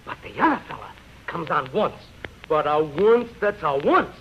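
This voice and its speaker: An actor in a 1930s radio drama playing a slangy theatrical agent who wheedles, cajoles and hedges. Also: male voice, fairly high pitch, sing-song, normal speed, a little hiss or hum. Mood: cajoling. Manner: wheedling, folksy, hesitant when cornered. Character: slangy, fast-talking male showbiz agent, cajoling and evasive. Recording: muffled 1930s radio broadcast.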